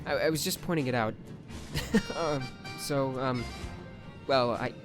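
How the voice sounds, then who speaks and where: A man's voice: mid-range voice, a little slow, over music, natural voice. A man playing a nervous, lovestruck young space cadet in an anime parody, stammering and awkward. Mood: flustered.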